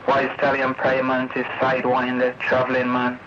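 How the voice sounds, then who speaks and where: A man's voice: medium pitch, completely flat delivery, normal speed, a loud crowd. An angry English man ranting confrontationally and repetitively over CB radio, swearing often. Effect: CB radio transmission. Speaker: CB radio user. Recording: noisy, muffled vintage CB radio recording.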